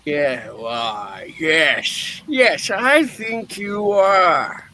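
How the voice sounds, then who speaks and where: A man voicing a puppet who plays an excitable, reformed old miser in a theatrical, drawn-out way. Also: on the high side, a little hiss or hum, exaggerated voice. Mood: stern.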